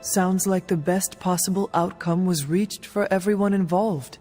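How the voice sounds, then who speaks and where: Woman as solemn warrior heroine, formal, literal, lowish pitch, reverberant game cutscene. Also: fairly even intonation, unhurried, warm tone, close to an everyday voice. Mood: skeptical. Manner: formal, literal, few contractions. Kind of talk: video game cutscene acting. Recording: long reverb, music behind.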